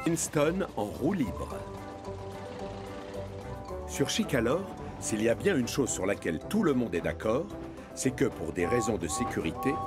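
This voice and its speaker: A man narrates a children's cartoon in a storytelling style. His mid-pitched voice is lively and varied, with music playing clearly behind him.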